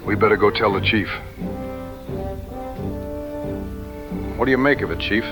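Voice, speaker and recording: A man's voice: lowish voice, very fast, over music, lightly acted. A man playing a plainspoken, skeptical frontier hunter in an audio drama, earnest and folksy in his backwoods phrasing. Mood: alert.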